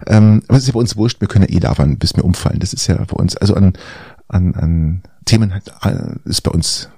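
Husky, congested man chatting. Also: deep voice, fast, mellow, no echo, clean studio sound. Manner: rambling, hesitant with many fillers. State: congested with a cold. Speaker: podcast co-host. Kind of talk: casual chat.

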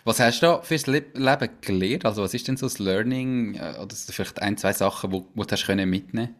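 Podcast host, man, very low voice, curious questioner. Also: fast talker. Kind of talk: casual interview.

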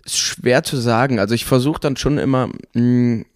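A young man chats as a guest on a podcast, his words loose and full of little hesitations and fillers. He laughs easily between thoughts. His voice sits at an ordinary middle pitch and is recorded close and dry. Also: moderate pace, no echo. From Cologne, Germany. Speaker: guest actor. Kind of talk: casual podcast interview.

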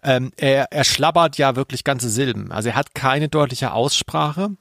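A man's voice: medium pitch, normal speed, gravelly, a bit bright, no room sound, clean studio sound. A man co-hosting a podcast in casual, analytical chat, often checking for agreement with little tag questions. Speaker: podcast co-host.

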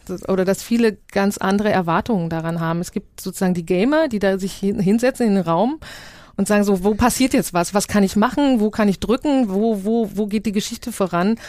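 A woman's voice: mid-range voice, brisk, darkish tone, no room sound. A woman speaking as a guest in a discussion, explaining her work spontaneously and reflectively, often posing rhetorical questions. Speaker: guest, immersive journalism project maker.

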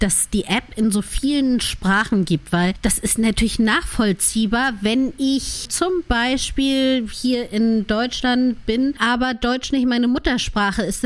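Chatty woman host, slightly high voice. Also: a little slow, few pauses. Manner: chatty, personal, engaged. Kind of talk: conversational interview questions.

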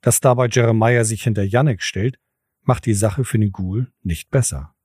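A man's voice: on the low side, moderate pace, darkish tone, slightly rough. A man narrating an audio drama from a script.

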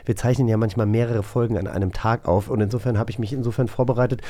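A man's voice: medium pitch, fairly even intonation, quick pace, mellow. A man co-hosting a podcast, chatting casually in a rambling, self-correcting way. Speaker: podcast co-host. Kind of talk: casual chat.

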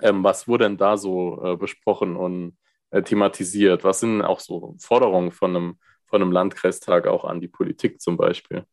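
A man's voice: deep voice, fairly even intonation, moderate pace, darkish tone, no room sound, studio quality. A man hosting a casual political podcast, chatty and explanatory with many fillers, recorded remotely. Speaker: podcast host asking questions.

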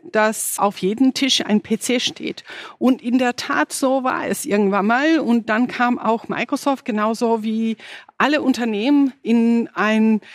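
A woman's voice: a little high, no room sound, studio quality. A woman with a non-native German accent giving a conference presentation. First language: not German. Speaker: conference speaker, engineering manager. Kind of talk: presentation talk.

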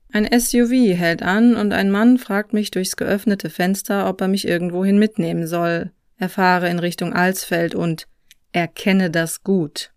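A narrator reading a scripted personal travel story in a reflective, measured way, recorded close on a microphone. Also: mid-range voice, smooth, no room sound. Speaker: podcast host and narrator.

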